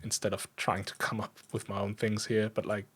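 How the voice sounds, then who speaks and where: A man with a fairly low voice and a German accent streams a coding session. He talks in a casual, easygoing way, poking fun at himself as he explains what he is doing. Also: completely flat delivery, a little fast, fairly bright tone, husky. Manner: easygoing, self-deprecating, chuckles often. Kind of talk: casual livestream chat while programming. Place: indoors at a computer desk.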